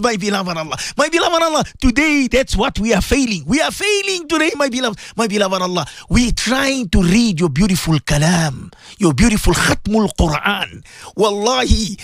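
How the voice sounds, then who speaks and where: A man's voice: very high-pitched, fairly bright tone, dry recording, clean studio sound. A South African man preaching an impassioned supplication, pleading and emotional, repeating his phrases. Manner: pleading, emotional, repetitive, often rising to a cry. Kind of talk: impassioned religious supplication sermon.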